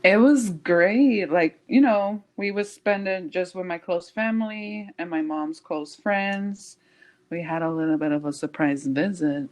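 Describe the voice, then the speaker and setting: A woman co-hosts a relaxed podcast in a somewhat low voice, chatty and full of slang. She pads her sentences with "like" and breaks into laughter often. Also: a little slow. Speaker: podcast co-host. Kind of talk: casual podcast chat. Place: home in South Florida.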